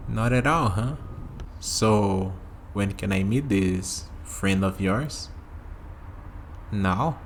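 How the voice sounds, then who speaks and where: A man playing a curious, skeptical young human in an ASMR vampire roleplay, talking hesitantly to the listener and pausing for replies, with steady noise behind him. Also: on the low side. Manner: hesitant, conversational, leaves pauses for unheard replies.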